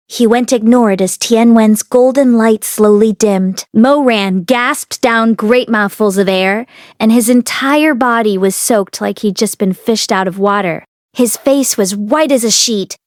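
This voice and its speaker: A woman reading a novel aloud as an expressive audiobook narrator. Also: fairly high pitch, no room sound, clean studio sound. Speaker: audiobook read-along narrator.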